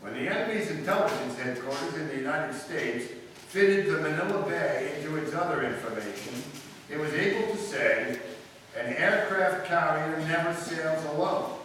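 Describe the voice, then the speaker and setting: A man playing a clever male amateur detective in a live old-time radio drama, crisp and lecturing, building to emphatic points. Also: a little high, lively intonation, normal speed, a bit bright. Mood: explaining. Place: live stage performance in a hall. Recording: echoey hall from far off, faint hiss.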